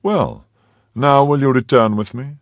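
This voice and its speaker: A man's voice: medium pitch, a little slow, no echo, voice pushed up, lightly acted. A commanding cardinal, as a man puts him on. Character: commanding male cardinal, powerful churchman.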